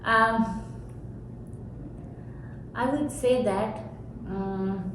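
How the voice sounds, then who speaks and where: A middle-aged woman from India talks in a loud, animated voice, laughing often and speeding up in quick bursts. Her voice has a medium pitch. The room rings with heavy echo, and steady background noise sits under her voice. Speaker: college professor. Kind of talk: candid interview answers.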